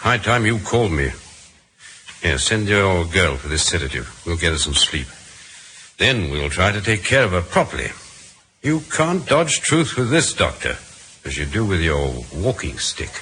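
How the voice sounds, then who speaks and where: Stern doctor, deep, animated, blunt, authoritative, old radio play, slight hiss. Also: male voice, full character voice. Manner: brisk, authoritative. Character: stern, blunt, suspicious physician. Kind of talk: old-time radio drama acting. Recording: hissy vintage radio recording.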